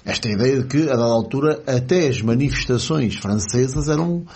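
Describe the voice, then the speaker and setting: A man's voice: medium pitch, lively intonation, a little fast, a little mellow, breathy edge. A man with a European Portuguese accent in casual political chat, rambling with many fillers and restarts in an ironic tone.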